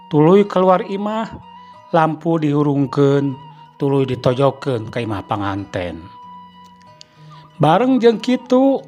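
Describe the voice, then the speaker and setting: A man with a Sundanese accent narrating a spooky radio tale in a dramatic, rising storytelling manner. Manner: dramatic, rising pitch on suspense.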